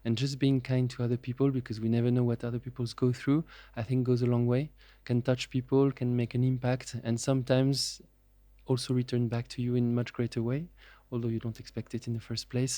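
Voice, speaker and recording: A man, answering podcast questions in a measured, reflective way with frequent pauses and fillers. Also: medium pitch, flat delivery, mellow, dry recording. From France. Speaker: podcast guest. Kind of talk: casual interview.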